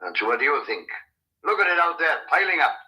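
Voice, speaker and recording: Nosy farmhand, very bright voice. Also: male voice, fairly high pitch, sing-song, quick pace, full character voice, rough recording. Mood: inquisitive. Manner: folksy, philosophical, inquisitive. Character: nosy, homespun male Welsh farmhand. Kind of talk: radio drama acting.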